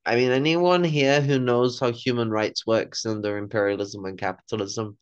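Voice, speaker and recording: A man's voice: mid-range voice, fairly even intonation, moderate pace, smooth, dry recording, highs cut off. A young man giving blunt, sweary political commentary in a casual way over a video call. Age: young adult. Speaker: panel participant. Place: indoor room at home. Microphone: video call microphone.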